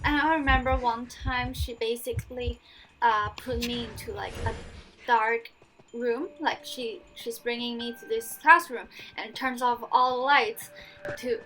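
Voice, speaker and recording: A woman's voice: a little high, steady tone, measured pace, rough recording. A young woman with a Cantonese accent, chatting casually and telling stories while she plays, with fillers and restarts. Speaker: gaming vlogger. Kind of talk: casual storytime over gameplay. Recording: voice-over with loud game music.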